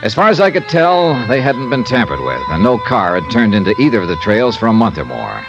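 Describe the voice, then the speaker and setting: A dull vintage radio recording with music features a hard-boiled American detective. His lowish voice moves with lively inflection. He sounds shrewd and breezy, in classic radio drama style. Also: fairly quick. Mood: brisk, watchful. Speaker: lead actor and narrator. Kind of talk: 1950s-style radio detective narration and acting.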